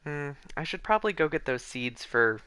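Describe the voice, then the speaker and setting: A man's voice: a little high, very animated, moderate pace, bright, forceful tone, clear, resonant tone, no echo. A man chatting casually and commentating as he livestreams a video game. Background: faint game music.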